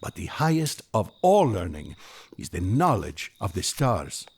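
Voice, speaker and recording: Devout ancient magus seeker, solemn n preachy, really animated, bit husky, audio drama style. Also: male voice, low-pitched, a little slow, heavily acted. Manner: solemn, oratorical, impassioned. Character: Artaban, earnest devout male Persian Magus, fervent seeker.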